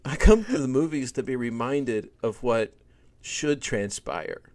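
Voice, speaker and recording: A middle-aged man and podcast host, telling stories in a relaxed conversational way, with lots of fillers and animated swings in emphasis. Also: medium pitch, a little slow. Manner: animated storyteller, many fillers.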